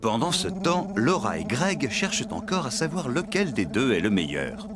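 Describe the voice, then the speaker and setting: A man's voice: mid-range voice, huge pitch swings, normal speed. A man narrating a children's farm cartoon in scripted storytelling style. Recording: loud music behind the voice.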